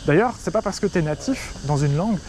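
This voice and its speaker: Chatty French man, slightly high voice. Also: animated, fairly quick, darkish tone, a little hiss or hum. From France. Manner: chatty, direct, addresses viewer as tu. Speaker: Chinese teacher. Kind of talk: casual talking-head advice video. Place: outdoors in front of a city skyline.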